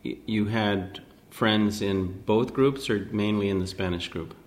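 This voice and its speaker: A man's voice: on the low side, steady tone, takes it slowly. A man interviewing someone for an oral history, asking long, rambling questions full of restarts.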